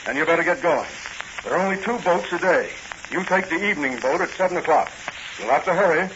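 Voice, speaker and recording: An actor playing an earnest, authoritative police commissioner with an American accent, formal and businesslike, in 1940s radio drama style. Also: male voice, on the high side, lively intonation, fast talker, noisy background, lightly acted. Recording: dull vintage radio recording.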